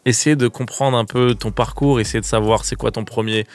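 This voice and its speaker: A man's voice: mid-range voice, steady tone, fairly quick. A man hosting a radio show, chatting casually in slangy, informal language as he interviews a guest. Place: radio studio.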